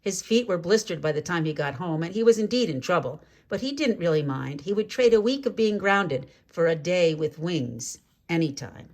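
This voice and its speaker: A woman reading a children's story aloud in an expressive, measured way, drawing out key words for drama. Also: lowish voice. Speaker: storybook reader.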